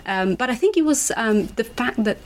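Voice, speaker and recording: A woman in her forties from Moldova, whose first language is Romanian, talking about her writing as a guest author in a relaxed interview, reflective and hesitant with many fillers. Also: a little high, moderate pace, a little hiss or hum. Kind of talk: casual interview.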